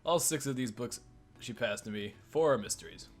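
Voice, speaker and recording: A man's voice: medium pitch, moderate pace, faint music behind. A man hosting a let's play, reading a visual novel's narration aloud with joking banter.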